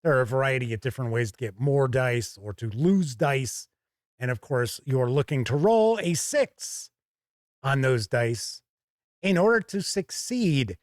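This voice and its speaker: A man hosting a game review to camera, presenting in a deliberate, emphatic way from an indoor studio. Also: mid-range voice, animated, no room sound. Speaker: host and board game reviewer.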